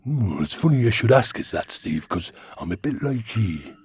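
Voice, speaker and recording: Husky, cheeky Geordie worm. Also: male voice, lowish voice, sing-song, normal speed, darkish tone, heavily acted. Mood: musing. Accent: Geordie, northeast England. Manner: rambling, blunt, colloquial. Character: cheeky, chatty twenty-foot talking lantern worm. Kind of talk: comic radio sitcom acting.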